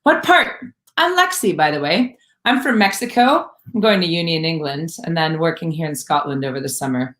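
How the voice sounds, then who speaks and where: A woman voicing an excited young Mexican woman who works at a hostel, speaking in a gushing, high and loud way. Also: brisk, hardly any pauses. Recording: roomy echo.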